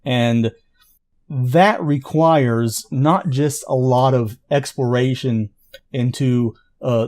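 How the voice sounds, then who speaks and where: A man who writes an audio drama, explaining it in an earnest, informal pitch. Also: a little mellow.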